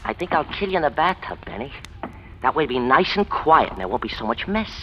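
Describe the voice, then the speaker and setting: Gloating gangster on 40s radio, sneery fake-sweet threats, pitch jumps around, echoey old recording. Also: male voice, sing-song, a little fast, dull audio, a little hiss or hum. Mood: gloating menace. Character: menacing, gloating male escaped-convict gangster bent on revenge. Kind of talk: 1940s radio crime drama acting.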